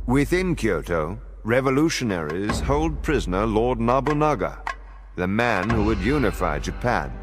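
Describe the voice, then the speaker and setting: A man giving a dramatic scripted historical narration for a game cutscene, in a measured, formal storytelling manner. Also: mid-range voice. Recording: music behind the narration. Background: background music.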